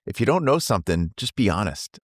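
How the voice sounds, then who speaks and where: A man's voice: on the low side, very animated, brisk, no echo, studio quality. A man co-hosting a sales podcast, talking in an emphatic, conversational way, with a slight cold. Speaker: co-host, sales trainer. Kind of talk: casual podcast chat.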